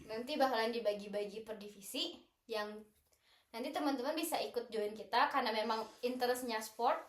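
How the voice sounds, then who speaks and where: A woman's voice: on the high side, a little fast. A young woman, chatting casually and playfully as a podcast co-host. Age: young adult. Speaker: student association podcast co-host. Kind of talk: casual group chat. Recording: roomy, echoey indoor recording.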